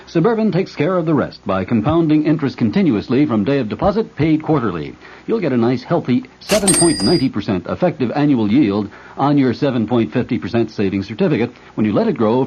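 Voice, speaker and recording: A man reading a scripted radio advertisement in a folksy, measured way. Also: medium pitch, big pitch swings, warm tone, slight background noise. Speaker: commercial announcer. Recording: muffled vintage radio recording.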